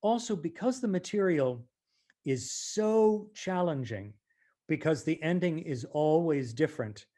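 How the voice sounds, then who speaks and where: A man's voice: a little high, expressive, measured pace, warm tone. A male stage actor chatting reflectively as an interview guest, articulate and emphatic.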